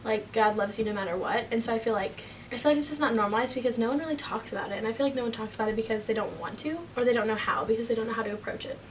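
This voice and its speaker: A woman's voice: on the high side, very fast, few pauses, distant mic, slight background noise, low-quality recording. An eighteen-year-old woman, a college freshman, giving frank and thoughtful interview answers.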